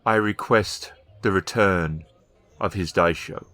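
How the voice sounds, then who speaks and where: Tabletop game noblewoman, recently widowed, male performer: very low register, unhurried, formal and clipped delivery. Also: fairly bright tone, no echo. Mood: cool, formal. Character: formal, terse widowed noblewoman requesting her husband's swords.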